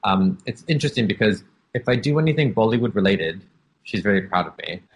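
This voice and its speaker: Man in his thirties, interview guest. Very low, breathy voice. Candid, reflective storyteller. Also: lively intonation, fairly quick, highs cut off. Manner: open and reflective, with frequent "um" and "like".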